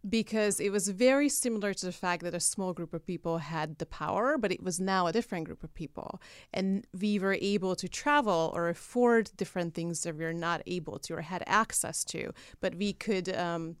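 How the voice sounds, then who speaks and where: A woman with a Hungarian accent and a smooth, slightly low voice, recorded dry in a studio. She speaks as an interview guest, explaining things in a conversational way. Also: moderate pace, no echo. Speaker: guest, political activist who runs a PAC. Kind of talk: casual explanatory interview talk.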